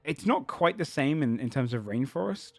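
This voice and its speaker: A man's voice: medium pitch, animated, moderate pace, gravelly, no echo, faint music behind. A man narrating a tabletop game as game master, describing the scene in a searching, descriptive way.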